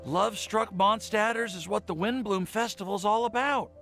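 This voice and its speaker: A man's voice: high voice, moderate pace, gravelly, sharp tone, soft background music, exaggerated voice. An actor playing a timid, lovestruck young man in an anime game, stammering and nervously apologetic. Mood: flustered, defensive.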